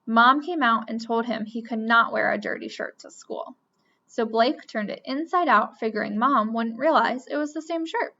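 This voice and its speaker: A woman reading a children's picture book aloud with expressive storytelling. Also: a little high, normal speed. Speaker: reader. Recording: dull lo-fi recording.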